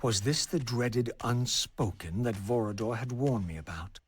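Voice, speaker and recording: An actor playing a brooding undead antihero in a video game, formal and measured, with a husky voice. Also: male voice, mid-range voice, no echo. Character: brooding undead male antihero recounting his quest. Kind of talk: dramatic scripted game narration.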